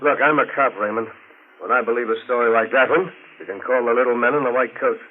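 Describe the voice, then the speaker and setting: Actor as a gruff police inspector, tinny old radio. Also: male voice, medium pitch, steady tone, fast, close to an everyday voice. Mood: dry, skeptical. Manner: brusque, colloquial tough-cop delivery. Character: gruff, skeptical, hard-boiled male police inspector. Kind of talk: 1940s radio drama acting. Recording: noisy, tinny 1940s radio recording.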